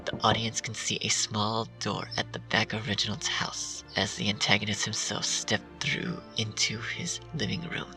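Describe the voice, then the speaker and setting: A man reading dark fan fiction aloud in a hushed, half-whispered narration. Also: lowish voice, creaky. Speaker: story reader. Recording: distant mic with faint music.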